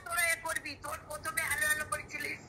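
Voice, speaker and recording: A woman's voice: sits high, level tone, very fast, sharp tone. A middle-aged woman, retelling things casually on a distant, echoey, very noisy recording. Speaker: mother, prank victim. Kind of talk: animated retelling in casual chat.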